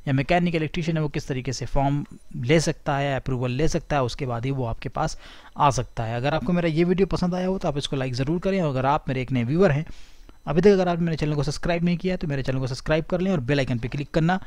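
A man's voice: a little high, quick pace, darkish tone, no room sound. A man hosting his own tech help channel, reading news text aloud and then restating it in simpler words. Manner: reads aloud then rephrases in plain words. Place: voice-over over a screen recording of a document.

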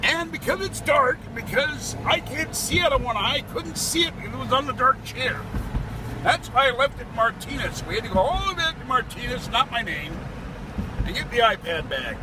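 A man's voice: very high voice, expressive, fairly quick. A man hosting a casual vlog from inside a car, talking in a rambling, joking way and laughing often. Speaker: vlog host. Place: inside a car on a traffic-jammed bridge. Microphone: phone camera held in the car. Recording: loud road noise from traffic.

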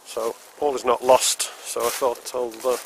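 A man's voice: medium pitch, level tone, unhurried, poor audio. British man chatting outdoors in woodland. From United Kingdom. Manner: chatty step-by-step explaining. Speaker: outdoor vlogger. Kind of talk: casual outdoor cooking vlog. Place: woodland picnic table outdoors. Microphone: camera mic, handheld then on a tripod. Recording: outdoor camera audio with clear background noise. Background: open outdoor air in woodland.

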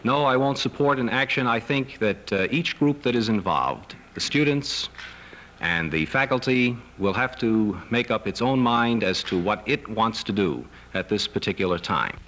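A middle-aged American man giving a formal, measured press statement. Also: fairly low pitch, lively intonation, a bit bright. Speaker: clergyman, seminary head speaking to press. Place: wood-paneled press conference room. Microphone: table microphones. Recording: noisy 1970s newsreel film sound.

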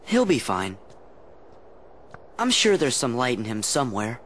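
A man's voice: medium pitch, sing-song, measured pace, a bit bright, a little hiss or hum. An actor playing an eager, good-hearted teenage boy hero in a video game, youthful and casual. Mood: reassuring. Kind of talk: video game cutscene acting.

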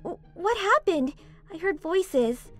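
A woman's voice: very high voice, big pitch swings, slow pace, soft background music, heavily acted. A woman playing a sweet, caring and easily flustered teenage schoolgirl in a manga dub, cutesy and stammering. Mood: startled. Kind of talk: manga dub voice acting, scripted.

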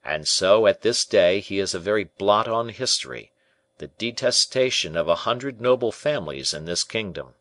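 A man reading a storybook part as a courtly, devoted conspirator, formal, deferential and persuasive in measured rhetoric. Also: lowish voice, animated, fairly bright tone, no room sound. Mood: grave, emphatic.

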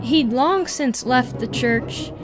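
Slow-reading woman, higher-pitched, music behind. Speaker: reader. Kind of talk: reading an article aloud.

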